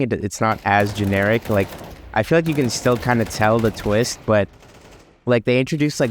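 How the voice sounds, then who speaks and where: A man's voice: lowish voice, a little hiss or hum. A young man with a congested voice from a cold, rambling casually about anime with many ums and restarts. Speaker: vlogger. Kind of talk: casual anime talk vlog.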